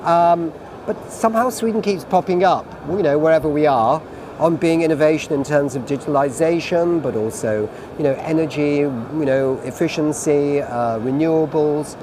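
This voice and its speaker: A man's voice: on the high side, normal speed, noisy background. A British man moderating an online panel over a video call, asking long, chatty questions. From Britain.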